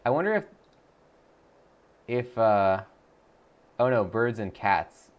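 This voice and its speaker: A man's voice: on the low side, very animated, slow, muffled recording. A man on a live stream, chatting casually, thinking aloud with lots of fillers and restarts, and reading viewer messages aloud to answer them. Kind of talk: casual live-stream chat while practicing a language app.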